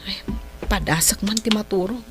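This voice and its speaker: An actress playing a dutiful, apologetic young daughter in a radio drama, plaintive and respectful. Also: female voice, medium pitch, moderate pace, natural voice. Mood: sleepy, alarmed. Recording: radio drama with music underneath.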